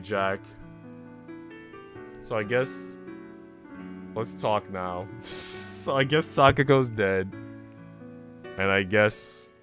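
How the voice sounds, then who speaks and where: A man's voice: lowish voice, a bit bright. A man chatting casually and ramblingly to viewers as a game streamer. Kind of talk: casual livestream chat. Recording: music playing behind the voice. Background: background music.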